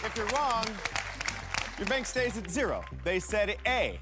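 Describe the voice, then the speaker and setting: A man hosting a game show, reading rules and questions in an energetic announcer style. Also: high-pitched, measured pace, a loud crowd. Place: TV studio. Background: background music.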